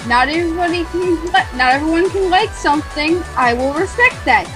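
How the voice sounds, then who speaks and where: A woman co-host reads a passage aloud, stumbling and halting as she goes. Her voice is high. Clear music plays underneath. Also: lively intonation, low-quality recording. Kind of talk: reading a text aloud.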